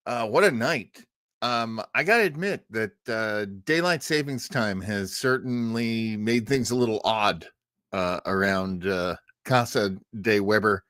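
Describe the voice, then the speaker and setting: A man hosts a casual livestream with a very animated, swooping delivery. He talks in an enthusiastic, rambling way and takes his time. The sound is dry, with little room around him. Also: fairly low pitch, no echo. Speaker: show host. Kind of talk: casual livestream chat introducing old radio shows.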